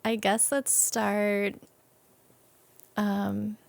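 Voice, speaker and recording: A young adult woman with a creaky voice, talking informally as an interview guest and thinking aloud with frequent "like". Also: mid-range voice, little pitch movement, very slow, no room sound. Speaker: interview guest, business owner. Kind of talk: casual conversational interview.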